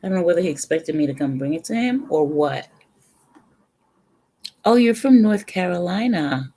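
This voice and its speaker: A woman's voice: on the low side, lively intonation, normal speed, mellow, husky. A middle-aged woman from the Bronx with a New York accent, chatting casually with viewers on a home livestream and rambling between sips of her drink. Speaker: livestream host. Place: home room with brick wall.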